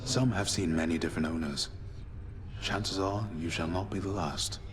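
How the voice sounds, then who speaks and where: An actor playing a calm, noble warrior mentor in a video game, measured, courtly and gentle. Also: male voice, low-pitched, music in the background, close to an everyday voice. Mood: reflective. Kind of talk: video game character acting.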